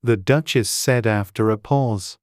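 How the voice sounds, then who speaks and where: A woman's voice: rumbling low, unhurried, darkish tone, no echo, studio quality. A woman reading scripted storybook narration as an audiobook narrator.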